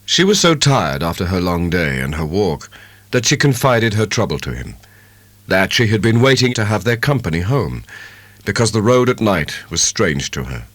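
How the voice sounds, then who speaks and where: Expressive man reading classic fiction. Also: low-pitched, dry recording. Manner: measured, literary storytelling. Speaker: audiobook narrator. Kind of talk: dramatic scripted narration of a classic novel.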